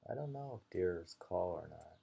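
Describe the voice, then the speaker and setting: A man's voice: sits low, animated, moderate pace, vocal fry, warm tone, husky, muffled recording. A man reading haiku aloud, repeating lines and musing on them in casual commentary. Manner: musing, repeats each poem. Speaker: reader and host of a poetry reading.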